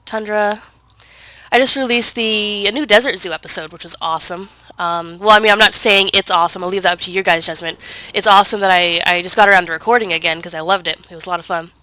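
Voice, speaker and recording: A woman talks through her game in a loose, rambling way, full of "um"s and restarts. Her voice is congested from a cold but still bright and sharp. The sound is close and dry, with no room around it. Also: medium pitch, fast talker, dry recording, rough recording. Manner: chatty, rambling, full of fillers and self-corrections. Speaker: gaming video creator. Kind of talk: casual gameplay commentary.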